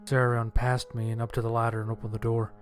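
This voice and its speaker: A man's voice: mid-range voice, monotone, fast, faint music behind. A man reading a scripted first-person horror story as its narrator. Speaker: audiobook narrator. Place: voice-over with background music.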